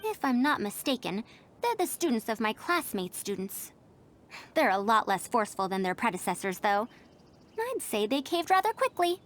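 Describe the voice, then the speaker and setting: Game role: self-assured academic woman, high and animated, formal old-fashioned wording. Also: normal speed. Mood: satisfied. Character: confident, headstrong, scholarly young-looking female academic. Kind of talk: voiced game character dialogue.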